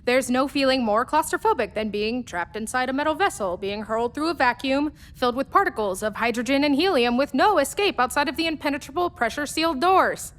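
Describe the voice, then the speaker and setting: A woman's voice: high voice, a little fast, hardly any pauses, natural voice. An actress playing a self-mocking, troubled woman spaceship engineer, delivering a confiding, wry monologue in a radio play. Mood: wry, confessional.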